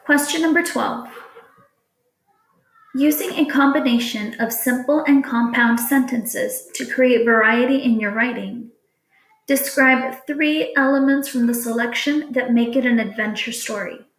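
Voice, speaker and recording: Slow-speaking woman teacher in an echoey room. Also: on the high side, a bit bright. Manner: deliberate, instructional. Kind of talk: reading test questions aloud to students.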